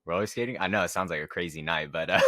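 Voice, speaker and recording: A man's voice: low-pitched, fast, pushed and bright, dry recording, clean studio sound. A young man co-hosting a podcast, talking casually. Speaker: podcast co-host. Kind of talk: casual chat.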